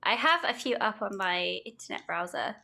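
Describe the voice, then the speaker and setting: A woman's voice: medium pitch, lively intonation, moderate pace, creaky, pushed and bright. A young British woman with a British accent, a podcast guest chatting casually and rambling with giggles. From United Kingdom. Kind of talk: casual podcast chat, reading aloud.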